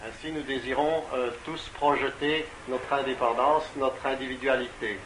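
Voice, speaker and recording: A man's voice: medium pitch, fairly even intonation, moderate pace, fairly bright tone, rough recording. Hesitant male interpreter, faint background noise. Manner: hesitant, frequent fillers, repeats words. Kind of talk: consecutive interpretation into French.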